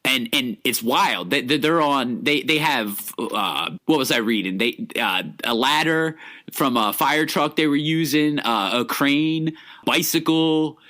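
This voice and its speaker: An American man co-hosting a casual film history discussion, explaining things in a halting, rambling way with many false starts. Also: mid-range voice, pushed and bright. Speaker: podcast co-host.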